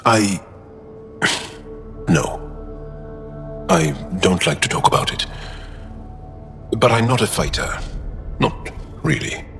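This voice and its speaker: An actor playing an earnest, guarded male alien fighter in a video game, speaking in deliberate, formal phrases. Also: fairly low pitch, a bit bright, exaggerated voice. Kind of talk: video game dialogue acting.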